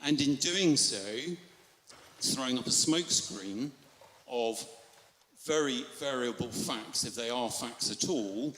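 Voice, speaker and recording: A man arguing in a live debate with measured, formal and courteous phrasing. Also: medium pitch, a bit bright. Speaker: audience debater. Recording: echoey PA in large space.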